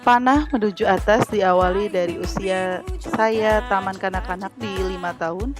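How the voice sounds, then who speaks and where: Teacher in her thirties, formal read-through, loud music under the voice. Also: mid-range voice, fairly even intonation. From Indonesia. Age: 30s. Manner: measured, formal reading. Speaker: teacher-training candidate. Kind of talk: reading a prepared assignment presentation.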